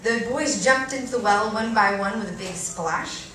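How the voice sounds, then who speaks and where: A woman reading a first-person story aloud at a live event, expressively and theatrically, with deliberate pauses and varied emphasis. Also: medium pitch, a bit bright. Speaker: reader performing a short story at a live event. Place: live reading in a cafe venue. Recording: echoey room, distant mic, faint noise.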